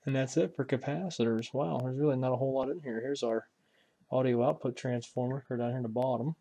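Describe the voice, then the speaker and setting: A man's voice: medium pitch, steady tone, fast, mellow. A man giving a casual, unscripted hobbyist walkthrough in a folksy way, musing aloud as he handles parts. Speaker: hobbyist radio restorer presenting a repair video.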